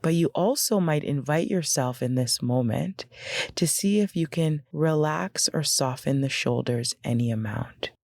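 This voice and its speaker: Female meditation guide: gentle and very slow, with noticeable vocal fry, recorded close and dry. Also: sits low, dry recording. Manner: gentle, unhurried, instructional with pauses.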